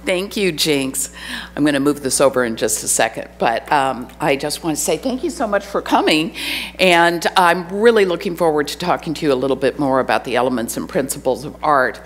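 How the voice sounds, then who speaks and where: A woman's voice: low voice, brisk. A middle-aged woman giving an art lecture at a podium microphone, chatty and self-deprecating, with a faint hum behind her. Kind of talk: lecture to an adult audience. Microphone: podium microphone on a stand.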